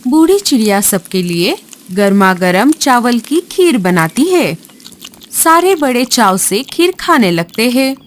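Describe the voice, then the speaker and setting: A woman's voice: on the high side, big pitch swings, slow pace, slight background noise. A woman narrating a scripted children's horror story as a storyteller. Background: music.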